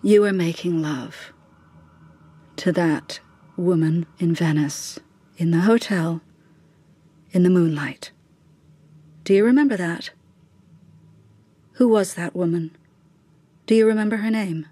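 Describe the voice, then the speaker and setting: A woman's voice: lowish voice, slow pace, pauses often, mellow, slightly rough. An actress playing a middle-aged mother in a radio drama, ruthless and scheming in her son's defence, with insistent, scornful, clipped questions. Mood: quietly cutting.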